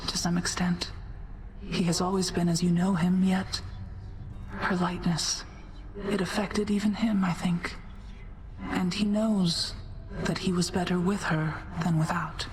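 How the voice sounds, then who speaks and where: A woman voicing a grave, gentle, wistful character in a video game, measured and hushed. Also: lowish voice, fairly even intonation, soft background music, close to an everyday voice.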